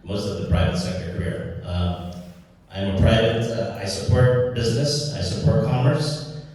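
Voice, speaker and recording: Halting man from Guam in an echoey hall. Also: fairly low pitch, lively intonation, a little mellow, recorded from a distance. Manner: halting, self-deprecating, many fillers. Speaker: senatorial candidate. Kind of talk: candidate forum speech.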